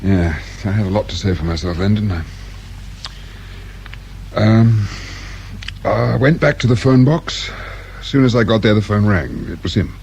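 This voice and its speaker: A man's voice: fairly low pitch, moderate pace, vocal fry, a little mellow. An actor playing a distraught English father of a kidnapped boy in a radio drama. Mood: subdued grief. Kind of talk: naturalistic British radio drama acting. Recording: background noise and room ambience.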